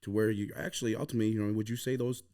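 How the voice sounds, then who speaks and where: A man's voice: lowish voice, level tone, very fast, dark tone. A man hosting a podcast, asking rambling questions full of "you know what I mean". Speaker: podcast host. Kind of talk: casual interview questions.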